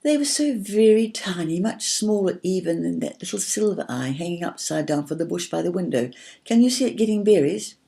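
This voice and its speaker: A woman's voice: lowish voice, lively intonation, fairly quick, gravelly, dark tone, voice pushed up. A woman voicing a firm, imaginative girl who tells a children's story patiently and dramatically. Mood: firm, wondering.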